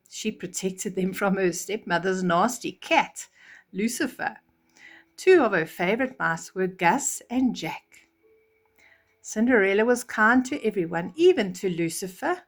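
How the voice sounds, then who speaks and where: Older lady doing a kids bedtime story, explains stuff simply, medium pitch. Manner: explains words simply to young children. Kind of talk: children's storybook reading.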